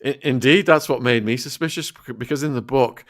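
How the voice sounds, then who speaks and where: A man hosting a podcast, reading viewer questions to his guest in a measured way. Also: mid-range voice. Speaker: podcast host.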